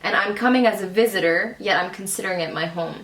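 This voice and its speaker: A woman's voice: medium pitch, quick pace, fairly bright tone, echoey room, rough recording. A young woman, a German native speaker raised abroad, chatting casually as a guest with many fillers and frequent laughs. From raised abroad in Cairo, Dubai and Shanghai. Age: young adult. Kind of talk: casual conversation.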